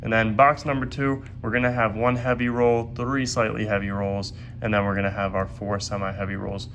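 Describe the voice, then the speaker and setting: A stuffed-up, congested man talks viewers through his task at a home desk in a steady, methodical way. His voice sits low and barely rises or falls. A clear layer of noise runs under the recording. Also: fairly quick. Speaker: coin roll hunting video host. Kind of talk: casual hands-on commentary. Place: at a desk indoors.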